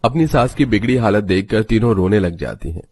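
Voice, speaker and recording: A man's voice: lowish voice, expressive, fairly quick. A man reading a scripted family story as its narrator.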